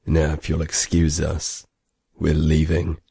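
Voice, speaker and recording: A man playing a gruff, curt military commander in an audio drama, with a deep gravelly voice and terse, commanding delivery. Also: completely flat delivery, takes it slowly, warm tone, no room sound, clean studio sound, full character voice. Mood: cold, resentful. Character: gruff, curt male soldier commander named Marx.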